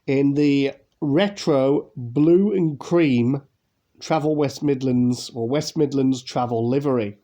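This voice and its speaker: A man's voice: medium pitch, slow, dark tone, dull audio. Nasal man with a cold. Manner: chatty, rambling, many fillers and restarts. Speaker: YouTube unboxing host. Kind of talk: casual unboxing commentary.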